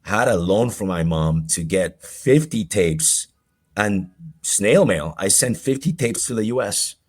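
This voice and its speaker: Male podcast guest, forties, deep voice, halting personal storyteller. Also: expressive, a little mellow. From Colombia. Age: 40s.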